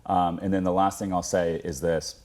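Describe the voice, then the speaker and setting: Deep-voiced American man, earnest. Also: level tone, moderate pace, darkish tone. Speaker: interview guest, former air traffic controller. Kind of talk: news interview answers.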